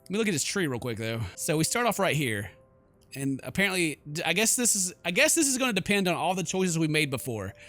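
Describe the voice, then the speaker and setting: A man's voice: a little high, very animated, fast talker, a bit bright, faint music behind. A man doing goofy, slangy let's play commentary, reading game text aloud with theatrical exclamations. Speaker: gaming YouTuber.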